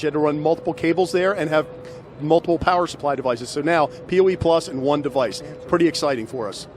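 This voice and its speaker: A middle-aged man presenting products conversationally into a handheld microphone in a trade show hall. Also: a little high. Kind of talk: promotional product demonstration, conversational. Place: large busy trade show hall, indoors. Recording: clear background noise of exhibition hall.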